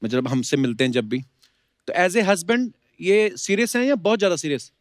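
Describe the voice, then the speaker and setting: Male talk show host, high voice, bantering and playful interviewer, dry sound. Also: lively intonation, moderate pace, dry recording. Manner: teasing, joking. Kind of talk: bantering comedy interview in Hindi mixed with English.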